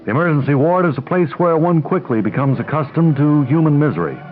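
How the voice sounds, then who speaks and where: An actor playing a self-important, obtuse young male hospital doctor, deadpan and pedantic, in a radio comedy. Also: fairly high pitch, fast talker, soft background music, close to an everyday voice. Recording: tinny, band-limited old radio recording.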